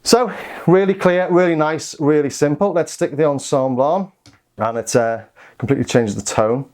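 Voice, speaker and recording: A man's voice: fairly high pitch, moderate pace, darkish tone. A man giving a casual product demonstration, informal and understated, thinking aloud as he goes. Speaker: synth reviewer and demonstrator.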